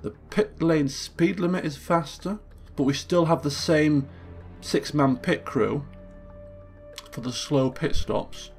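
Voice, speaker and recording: Chatty man with a gravelly voice. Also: a little high, takes it slowly, soft background music. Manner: chatty, thinking aloud, self-correcting. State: croaky from just waking up. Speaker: let's play host. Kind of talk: casual gameplay commentary.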